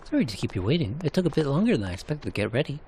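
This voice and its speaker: A man's voice: mid-range voice, sing-song, racing, a little mellow, slight background noise. A man voicing a resolute, protective adventuring companion in a narrated game cutscene, earnest and urgent. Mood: cautioning.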